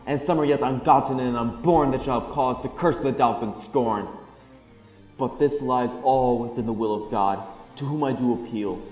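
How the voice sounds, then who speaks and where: A man's voice: mid-range voice, darkish tone, natural voice. A young man playing a resolute, regal English king in a Shakespearean audio drama, speaking formal, declamatory verse. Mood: menacing. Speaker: amateur student actor. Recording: muffled, echoey hall reverb under music.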